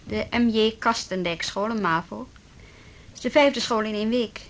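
A woman acting a brisk, persistent policewoman in a radio drama, firm and probing as she questions people. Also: a little high, lightly acted, poor audio. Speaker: police officer.

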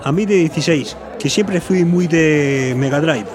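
Actor as a polite, higher-voiced man from Spain. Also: measured pace, over music, crowd noise, close to an everyday voice. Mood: relaxed, chummy. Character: polite, eager male newcomer on an adventure. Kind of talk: Spanish audio drama acting.

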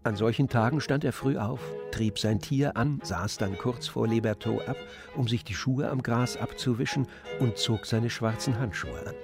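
A man narrating a literary story in a measured, descriptive manner. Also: mid-range voice, music in the background.

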